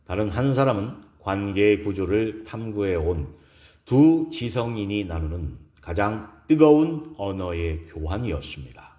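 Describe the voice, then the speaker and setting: A man narrating a romance audio drama in a deliberate, literary, dramatic way. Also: on the low side, darkish tone, roomy sound.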